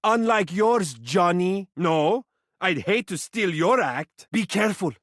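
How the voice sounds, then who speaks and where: A man's voice: high voice, lively intonation, takes it slowly, fairly bright tone, dry recording, heavily acted. A living mirror from a video game, a male suitor both vain and tender. His melody swings widely as he speaks in florid, formal, affectionate phrases. The delivery is theatrical, and the sound is close and dry. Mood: haughty, boastful. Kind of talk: video game character voice acting.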